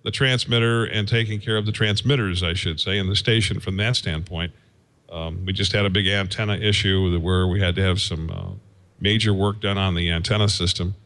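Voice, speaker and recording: A man giving an informal technical explanation as a guest engineer, in a halting, folksy way, thinking aloud as he goes. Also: low voice, fairly even intonation, a bit bright. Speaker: guest, radio station engineer.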